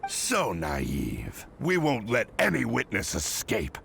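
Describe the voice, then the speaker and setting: An actor playing a smug, menacing enemy soldier who speaks with cold contempt. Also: male voice, lowish voice, wildly swooping pitch, slow pace, creaky, fairly bright tone, a little hiss or hum, full character voice. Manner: threatening, contemptuous. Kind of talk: video game voice acting.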